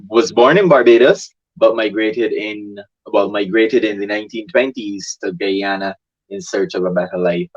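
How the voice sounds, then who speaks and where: A man's voice: on the low side, dull audio. A man hosting an interview, asking probing questions and steering his guest. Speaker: host.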